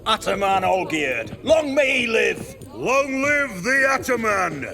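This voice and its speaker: Brief: boisterous henchman, wildly swinging pitch, bright tone. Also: sing-song, slow pace, vocal fry, heavily acted. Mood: rowdy. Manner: boisterous, mocking. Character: rough male bandit henchman. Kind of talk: game cutscene voice acting.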